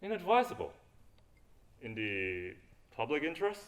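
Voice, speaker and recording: A man's voice: mid-range voice, huge pitch swings, normal speed, a bit bright. A young man acting a wry, suspicious adventurer with a sardonic, questioning manner in a student stage play. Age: young adult.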